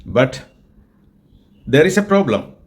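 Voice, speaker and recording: A man from Kerala, India, with a Malayalam-influenced Indian accent, teaching English in an earnest, deliberate way and often repeating himself. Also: on the high side, very animated. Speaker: English tutor and group organizer. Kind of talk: spoken English lesson with an AI chatbot.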